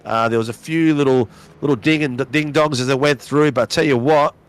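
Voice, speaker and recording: A man with a hoarse voice calling a sim race with excited, rapid play-by-play. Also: medium pitch. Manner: fast, exclamatory, calls names rapidly. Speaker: race commentator.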